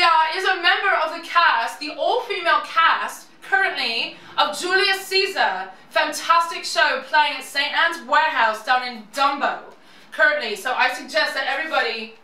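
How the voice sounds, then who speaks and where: A woman's voice: high voice, moderate pace, bright, forceful tone. A woman hosting a live music show in a house, introducing guests in a put-on British accent, with jokey, self-mocking asides. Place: living room show space in a house. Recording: roomy sound, mic at a distance.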